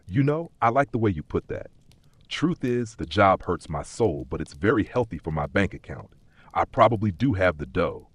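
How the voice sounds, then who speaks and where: A man playing a weary, doubtful corporate worker in a dark fantasy audio story, plain-spoken and skeptical. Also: low-pitched, big pitch swings, moderate pace, husky, no echo, exaggerated voice. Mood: resigned.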